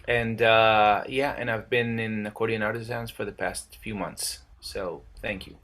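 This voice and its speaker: A man's voice: fairly low pitch, fairly even intonation, unhurried, fairly bright tone. A man from Israel casually introducing himself on a webinar. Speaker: accordion repairer.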